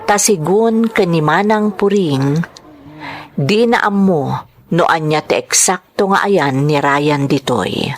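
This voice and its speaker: A woman narrating a scripted drama from a letter, with expressive, measured storytelling. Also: deep voice.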